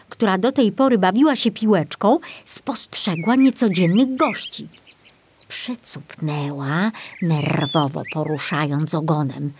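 Animated woman narrating a children's story. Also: medium pitch, a little hiss or hum. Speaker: audiobook narrator.

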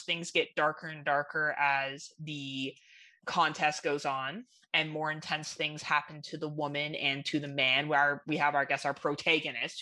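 Female podcast host, low register, forceful bright tone, frank and informal. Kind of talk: casual podcast chat.

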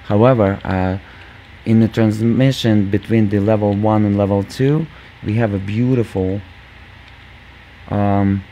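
A man hosting a gaming channel, talking casually over gameplay with a squeaky voice, lots of fillers, and calling viewers "guys". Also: fairly even intonation, unhurried, long pauses, mellow, a little hiss or hum. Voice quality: squeaky, just-woken voice. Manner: rambling, many fillers, addresses viewers as guys.

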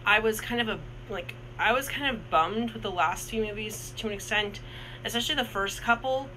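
A man's voice: sits high, brisk, pushed and bright, a little hiss or hum, rough recording. A man in his twenties co-hosting a casual movie review, talking in a rambling way with many restarts and fillers. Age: 20s.

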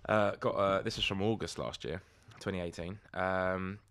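A man with a fairly low voice, talking as a podcast co-host. His style is casual and comic, with a blunt, sweary way of putting things. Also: steady tone, normal speed, a bit bright. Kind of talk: casual comic chat about odd news.

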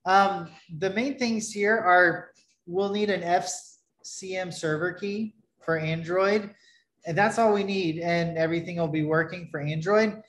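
A man in his thirties giving a relaxed screen-share tutorial on his product, talking loosely with many fillers and restarts from a webcam at his desk. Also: sits high, a little slow, roomy sound. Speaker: developer presenting his product. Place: small home room, indoors.

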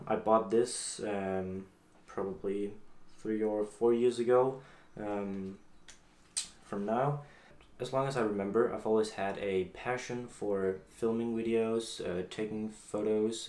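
A seventeen-year-old man from Denmark with a Danish accent, talking casually to the camera in a halting, hesitant way. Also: on the low side, darkish tone. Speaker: YouTube vlogger introducing a channel. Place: small home office room, indoors. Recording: echoey room with faint background noise.

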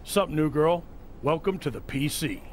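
A man's voice: fairly high pitch, lively intonation, a little slow, a little hiss or hum. An actor voicing a folksy older male wrestling trainer who offers homespun advice in a video game. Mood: friendly.

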